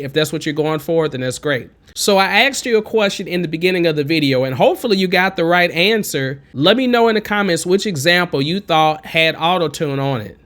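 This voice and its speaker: Chatty man with a bright twangy tenor. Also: on the high side. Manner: chatty, encouraging, digresses. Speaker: music production tutor. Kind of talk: casual screen-recorded tutorial. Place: home studio with green screen. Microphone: studio condenser mic with pop filter, wearing headphones.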